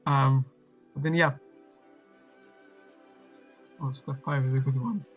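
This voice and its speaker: A man's voice: mid-range voice, steady tone, dull audio, soft background music. A man co-hosting an online workshop, talking casually over a video call with lots of fillers and restarts. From lives in Australia. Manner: halting, many fillers and restarts. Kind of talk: casual online session chat.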